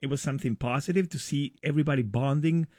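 A middle-aged man from Argentina with an Argentine accent, speaking as a guest in a casual radio interview, enthusiastic and a little halting. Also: a little high, no echo. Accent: Argentine Spanish. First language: Spanish. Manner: enthusiastic, warm storytelling with hesitations.

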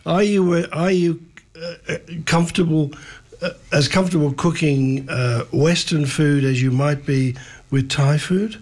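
A man's voice: fairly high pitch, steady tone, a little slow, darkish tone. A man hosting a radio studio interview, hesitant and full of uhs. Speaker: radio host.

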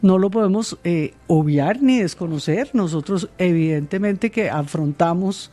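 A woman, answering interview questions in a measured way, with many pauses and fillers. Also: fairly low pitch, warm tone. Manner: measured, hesitant with fillers and repeated words. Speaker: interviewed guest.

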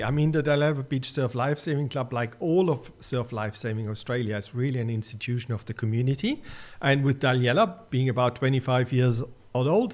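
A man with a German accent speaks as a guest on a radio interview. His voice sits at a middle pitch, and he talks with earnest enthusiasm about his volunteer club, stumbling now and then into restarts. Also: darkish tone. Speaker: surf club secretary and media manager.

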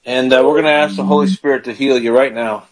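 A man's voice: mid-range voice, fairly even intonation, quick pace, low-quality recording. A man who is a pastor hosting a live call-in show, praying with callers and leading them line by line. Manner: warm pastoral, leads prayers line by line.

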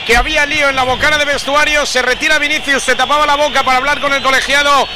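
Castilian spanish guy calling a match on the radio, really high voice, fast and urgent, noisy outdoor broadcast. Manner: excitable, urgent delivery. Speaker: football play-by-play commentator. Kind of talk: live football radio commentary.

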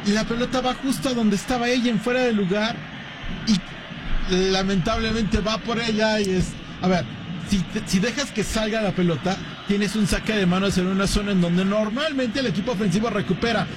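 A man's voice: high-pitched, brisk, background noise. A man giving color commentary on a football match, analysing plays with hesitant restarts. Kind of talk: match analysis and studio banter.

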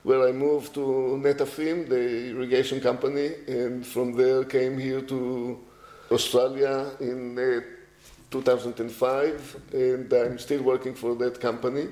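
Older Israeli-born man, halting, roomy. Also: mid-range voice, flat delivery, dark tone. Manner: hesitant, many fillers and restarts. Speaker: guest, agriculture technology executive. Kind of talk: unscripted interview answers.

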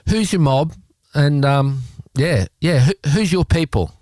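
A man's voice: fairly high pitch, unhurried, a little mellow, no echo, studio quality. A man with an Australian accent hosting a community radio show, chatting loosely with lots of fillers and restarts. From South Australia. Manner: rambling, chatty, with many fillers. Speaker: radio host. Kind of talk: casual community radio chat.

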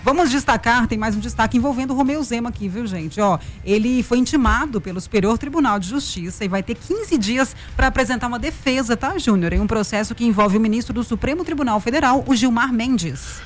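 A woman's voice: fairly high pitch, animated, fairly quick, few pauses, a little hiss or hum. A woman radio host with an Araxá accent, chatting playfully and teasing her co-host, reading listener messages. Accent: Araxá, Minas Gerais. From Minas Gerais, Brazil. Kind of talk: casual talk radio chat.

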